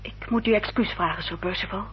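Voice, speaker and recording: A woman acting an earnest, dutiful young upper-class lady in a radio drama, speaking formally and with careful, measured diction. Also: mid-range voice, natural voice. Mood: solemn, composed. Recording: dull, noisy old radio recording with hum.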